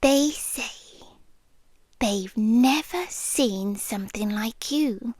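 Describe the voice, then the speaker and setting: Woman acting a slow, high-voiced British yandere girlfriend. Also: a little mellow, dry recording. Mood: hushed menace. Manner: slow, teasing, often dropping into breathy whispers. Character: possessive yandere girlfriend, playful, sweetly menacing captor. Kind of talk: ASMR roleplay, intimate and slow.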